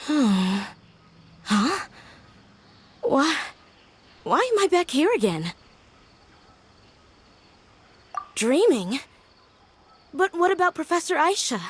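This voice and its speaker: A woman playing a gentle, wistful young woman scholar in a video game. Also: high-pitched, big pitch swings, slow, long pauses, full character voice. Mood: groggy, confused. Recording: music under the voice.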